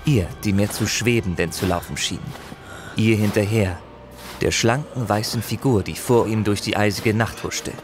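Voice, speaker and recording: A man's voice: on the low side, normal speed, over music. A man narrating a fantasy audio drama as a dramatic scripted storyteller.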